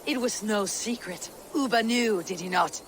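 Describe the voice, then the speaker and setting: A woman's voice: mid-range voice, normal speed, natural voice. A woman playing a steadfast Viking warrior in a video game, speaking firmly and bluntly. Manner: firm, blunt, commanding. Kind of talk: dramatic video game acting. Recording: clear background ambient noise.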